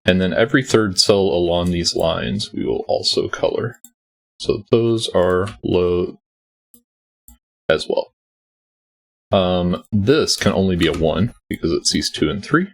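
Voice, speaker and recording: A man hosting a sudoku walkthrough, gravelly and nearly losing his voice, explaining each step patiently with many ums. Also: low voice. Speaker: sudoku puzzle setter and walkthrough host. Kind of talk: explaining a puzzle solve.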